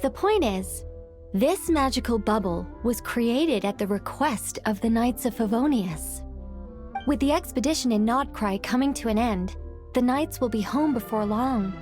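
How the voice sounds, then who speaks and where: A woman playing a composed, knowing mage in a video game, explaining things in a measured, formal way. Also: fairly high pitch, animated, darkish tone, faint music behind. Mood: matter-of-fact.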